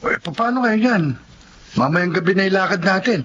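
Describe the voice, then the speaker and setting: Young Filipino runaway thief, extremely high boyish pitch, timid, plaintive, radio drama, muffled sound. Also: male voice, lively intonation, normal speed, fairly bright tone. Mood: hesitant. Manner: hesitant, plaintive. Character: timid, reluctant young male thief, mistreated runaway son. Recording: muffled, noisy lo-fi drama recording.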